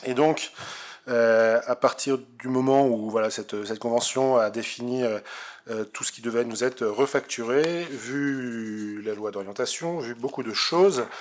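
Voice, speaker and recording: A man giving a formal, semi-improvised council report in a halting, hesitant way. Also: mid-range voice. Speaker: city councillor.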